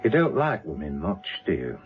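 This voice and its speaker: An actor playing a wry, fatherly British police inspector with dry, steady authority in a radio drama. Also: deep voice, normal speed, narrow, tinny audio, exaggerated voice. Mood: calm, commanding.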